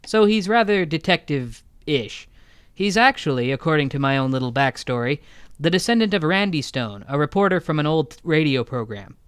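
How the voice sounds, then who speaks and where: A man speaking as an interview guest, an audio drama creator with deadpan joking. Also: a little high, normal speed, clean tone, dry recording. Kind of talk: interview conversation.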